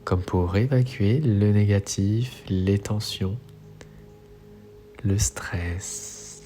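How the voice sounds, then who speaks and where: A man with a low, mellow voice guides a relaxation meditation. He speaks very slowly, in soothing, measured instructions. Soft music plays faintly behind him.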